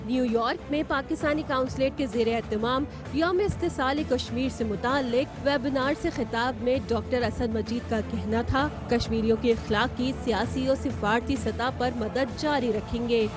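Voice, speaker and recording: A woman with a Pakistani Urdu accent narrating a scripted news package in crisp headline style. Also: on the high side, moderate pace, hardly any pauses. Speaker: news voice-over. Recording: music bed behind voice. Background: music.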